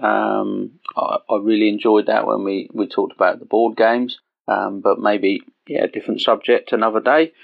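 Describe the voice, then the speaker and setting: A dull, lo-fi voice message from a man with a fairly low voice. He talks casually as a podcast caller, with plenty of creak in his tone. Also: fairly even intonation, moderate pace, few pauses. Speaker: podcast call-in guest.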